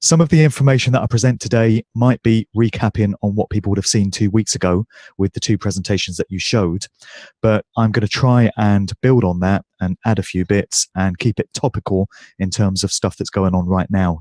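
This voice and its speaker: A man giving a talk as a guest speaker, congested and croaky but speaking fluently over a video call. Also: lowish voice, fairly even intonation, a little fast, a little mellow, no room sound, studio quality. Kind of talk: presentation.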